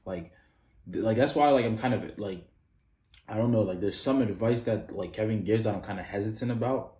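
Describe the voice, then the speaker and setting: A man's voice: lowish voice, fairly quick, reverberant. A man in his thirties hosting a reaction video, talking casually and full of "like" fillers. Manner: rambling, many "like" fillers, animated when disagreeing. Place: small indoor room.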